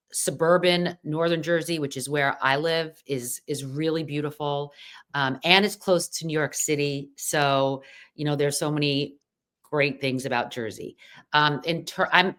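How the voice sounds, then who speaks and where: A middle-aged American woman with a notably low, deep speaking voice, chatting freely as a podcast guest. She talks loosely, with many fillers and restarts. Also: a bit bright. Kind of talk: casual chat.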